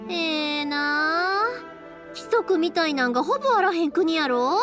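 A cheerful young woman from an anime game. She speaks high with big, lively swings and a Kansai accent, friendly and kind, with music playing underneath. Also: unhurried. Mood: chatty, curious. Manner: friendly, expressive, chatty. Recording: studio voice over game music.

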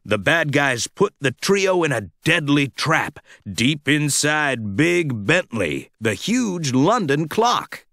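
A man reads a children's storybook aloud with expressive, dramatic phrasing. His fairly high voice rises and falls in lively swings, and he takes his time over each sentence so young listeners can follow along. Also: slow pace, few pauses, no room sound, studio quality. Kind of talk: scripted read-along storytelling.

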